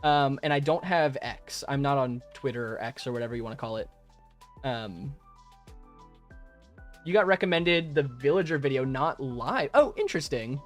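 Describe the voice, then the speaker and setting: A young man chats easily with his stream viewers, laughing often and restarting sentences as he goes. His pitch sits in an everyday middle range, and the modest home mic carries some faint music in the background. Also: lively intonation, moderate pace. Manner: friendly, rambling, laughs often, many fillers and restarts. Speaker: live streamer answering chat. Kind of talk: casual live-stream chat while gaming. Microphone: modest home microphone.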